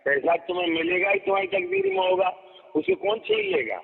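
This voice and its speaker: A man argues on a recorded phone call in a high, gravelly voice. He sounds like an imperious preacher handing out rebukes and interrupting. The line is narrow and tinny, with a hollow reverb. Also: wildly swooping pitch, fairly quick. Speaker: religious preacher. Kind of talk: heated phone argument.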